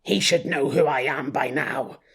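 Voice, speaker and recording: A man voicing a blustering, boastful petty male gang boss who rants and bosses his crew around, with a husky voice. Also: mid-range voice, a little slow, a bit bright, heavily acted. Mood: ranting. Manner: boastful, bossy, rough-talking.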